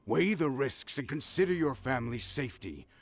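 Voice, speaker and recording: Gravelly, resolute game commander. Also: male voice, mid-range voice, animated, normal speed, no room sound. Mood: grave, measured. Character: resolute male military commander, grave and protective. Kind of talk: video game voice acting.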